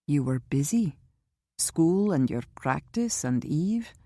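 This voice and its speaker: A woman voicing a calm, protective man who speaks in a measured, reassuring and sometimes grimly insistent way in an audiobook. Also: sits low, dry recording, heavily acted. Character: calm, protective older man, steady and wry.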